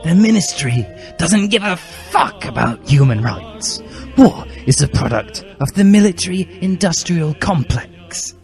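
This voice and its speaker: A man's voice: on the high side, animated, voice pushed up. A man voicing a furious, self-righteous teenage boy revolutionary who rants indignantly, in a comic dramatic reading. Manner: ranting, indignant, drawn-out stresses. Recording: loud music behind the voice.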